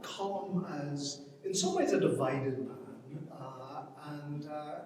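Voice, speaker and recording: A man's voice: a little high, slow, darkish tone. A man gives an informal literary talk off the cuff, hesitant and often repeating words as he thinks aloud. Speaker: panel speaker on literature. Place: large reverberant indoor event space. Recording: distant, very echoey hall with long reverb.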